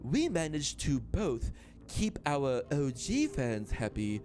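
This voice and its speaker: A man's voice: mid-range voice, huge pitch swings, takes it slowly. A man giving a theatrical, pompous dramatic reading of a written statement. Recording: played-back video with loud music. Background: music.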